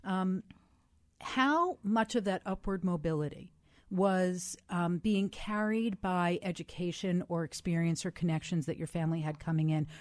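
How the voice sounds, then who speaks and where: A woman interviewer from the United States, asking questions in a warm, attentive manner. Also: lowish voice, moderate pace, dry recording.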